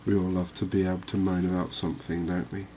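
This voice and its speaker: Low, even-toned man over background noise. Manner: unhurried, musing, conversational with tag questions. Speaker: gaming video host. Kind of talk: relaxed ASMR game commentary. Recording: clearly noisy background ambience.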